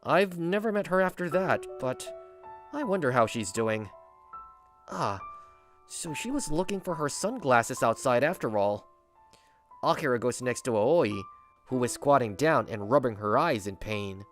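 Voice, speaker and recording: An earnest, slightly smug teenage schoolboy played by a man tells his own story in a manga dub. His pitch moves a lot, and he speaks slowly. Music runs underneath. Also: animated, natural voice. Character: kind, self-assured teenage schoolboy narrating. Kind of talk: anime dub voice acting and narration. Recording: music bed behind voice.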